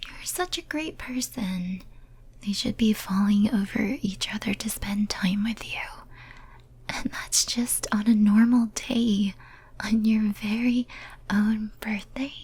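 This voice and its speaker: A woman playing a lonely, obsessive female demon in a whispered ASMR roleplay, speaking softly and breathily in a tender, intimate, hesitant way. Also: medium pitch, slow pace, slightly rough. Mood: concerned, tender.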